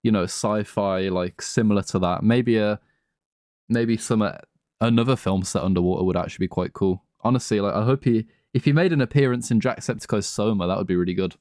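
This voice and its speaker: A male podcast co-host with a fairly low voice, recorded dry without room sound. He chats informally about films, using plenty of slang and swearing. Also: fairly quick, darkish tone, no echo. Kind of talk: casual chat, film discussion.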